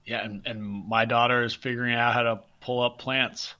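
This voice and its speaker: Bright, upbeat male podcast co-host. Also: mid-range voice, little pitch movement, normal speed, smooth. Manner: upbeat, playful, explains with metaphors. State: congested and stuffy. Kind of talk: casual podcast chat.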